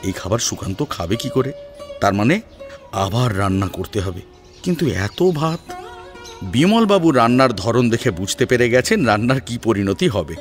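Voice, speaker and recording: A man narrating a scripted domestic drama as a storyteller, in an expressive, dramatic style. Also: medium pitch, moderate pace. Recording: music behind the voice.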